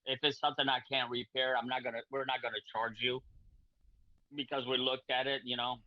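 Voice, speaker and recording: A middle-aged man from Portugal, a repair shop owner and guest on a video call, speaking in halting, repetitive friendly sentences. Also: medium pitch, level tone, dry recording. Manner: halting, repeats words, friendly. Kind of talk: casual video-call chat. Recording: dull lo-fi video-call audio.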